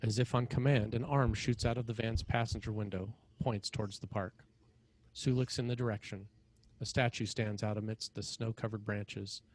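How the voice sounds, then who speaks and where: A man's voice: lowish voice, steady tone, normal speed, a little mellow, poor audio. A man reads scripted scene directions as the narrator of a screenplay table read.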